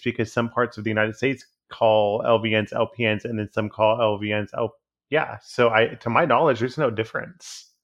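A man, a hospice nurse chatting as a podcast guest in an easygoing, halting way with lots of fillers. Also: mid-range voice, fairly bright tone. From Texas. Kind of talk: casual podcast interview.

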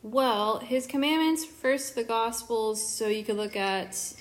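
A woman co-hosting a Bible study podcast, explaining word meanings in a calm, teacherly way. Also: a little high, measured pace. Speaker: podcast co-host.

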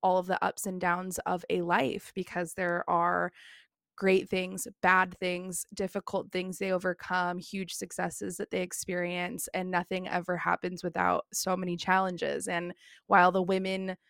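A woman's voice: on the low side, monotone, measured pace, gravelly, a bit bright, no room sound, studio quality. A woman who hosts a podcast, talking heartfelt in a casual conversation with many "you know" fillers. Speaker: podcast host.

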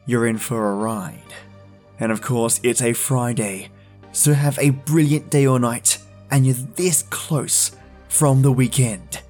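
A man's voice: mid-range voice, slow pace, a little mellow, faint music behind. A man hosting a podcast, talking casually and directly to his listeners. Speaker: podcast host.

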